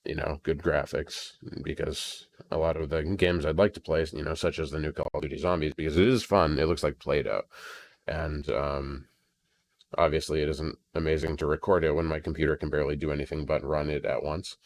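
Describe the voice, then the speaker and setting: An American man with an extremely low voice talks through a casual solo audio log. He rambles hesitantly and sounds resigned. The home computer recording has some crackling. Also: level tone, brisk, gravelly. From Maine, United States.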